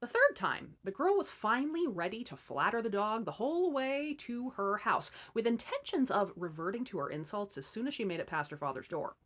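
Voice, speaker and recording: A woman's voice: medium pitch, sing-song, a little fast, hardly any pauses, fairly bright tone. A woman hosting and narrating fairy tales as scripted comedy, with wry, irreverent asides. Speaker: host and storyteller.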